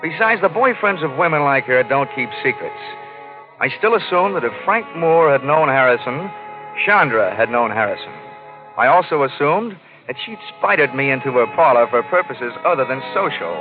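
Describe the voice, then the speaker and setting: A man's voice: mid-range voice, normal speed, lightly acted. Wry American radio detective, played by a man. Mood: narrating, dry. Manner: wry, flippant, deadpan. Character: wisecracking hard-boiled American insurance detective. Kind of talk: 1940s radio detective acting with first-person narration. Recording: muffled 1940s radio recording, faint music.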